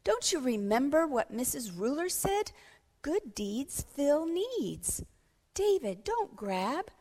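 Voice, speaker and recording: A woman's voice: sits high, very animated, slow pace, a little mellow, big-room sound, pitched above the performer's own voice, heavily acted. A woman reading a children's storybook, voicing a helpful young schoolboy who kindly corrects his friends. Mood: pleading. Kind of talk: children's storybook read-aloud.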